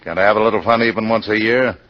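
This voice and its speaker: Man acting gruff middle-aged dad, low voice, suspicious, old 50s radio play, muffled. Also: fairly even intonation, fast talker, close to an everyday voice. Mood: grumbling. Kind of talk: 1950s radio drama acting. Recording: dull, faintly noisy old radio broadcast.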